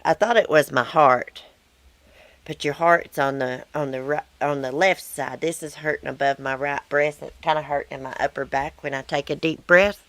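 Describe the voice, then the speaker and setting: A woman's voice: sits low, fairly even intonation, moderate pace, a bit bright, dry recording. A woman hosting a casual live chat from her porch, reading viewer comments in a blunt, rambling way, sounding wheezy. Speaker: livestream host. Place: outdoor porch.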